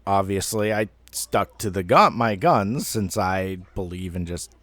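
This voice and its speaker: Low-voiced man telling a rambling story. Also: a bit bright, no echo. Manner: rambling, halting, profane. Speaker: amateur storyteller. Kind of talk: casual personal storytelling monologue.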